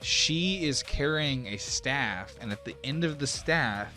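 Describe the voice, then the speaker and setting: A man's voice: mid-range voice, lively intonation, slow, bright, forceful tone. A man running a tabletop game as game master, moving between dramatic combat narration and casual rulings. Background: background music.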